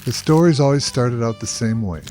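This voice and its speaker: A man's voice: medium pitch, lively intonation, normal speed, darkish tone. A man narrating a scripted first-person story. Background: music.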